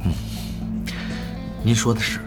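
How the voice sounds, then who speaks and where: A man's voice: measured pace, over music, close to an everyday voice. A man playing an anxious, deferential guild officer giving earnest reports in a Mandarin audio drama. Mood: dutiful.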